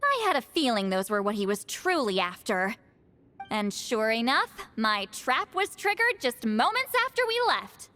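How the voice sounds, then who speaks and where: A woman playing a proud, sharp-tongued high priestess who flusters easily, in a video game. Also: very animated, moderate pace, soft background music. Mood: confident. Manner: bossy, brash, theatrical. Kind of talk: video game cutscene voice acting.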